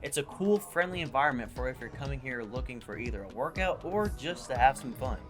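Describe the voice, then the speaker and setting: A teenage boy narrates a school TV feature about a climbing gym. He reads in a bright, scripted tone over background music. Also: medium pitch, animated, fairly quick. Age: teens. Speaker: student reporter. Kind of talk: scripted travel segment. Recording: music bed underneath.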